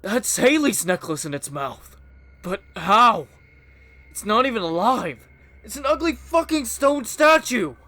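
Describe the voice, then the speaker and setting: Male actor as angry young man, sardonic, slow delivery, swooping intonation, dry close sound, horror drama. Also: high-pitched, very animated, pushed and bright, dry recording, full character voice. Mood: bewildered. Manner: sardonic, cursing, exasperated. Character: Rich, angry foul-mouthed grieving young man. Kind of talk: audio horror drama acting.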